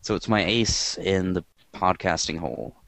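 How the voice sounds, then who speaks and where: A man hosting a podcast in casual chat, with a quiet, monotone voice and a rambling, dry way of talking. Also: low voice, moderate pace, gravelly, a little hiss or hum. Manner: rambling and dry, filler-heavy. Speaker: podcast host.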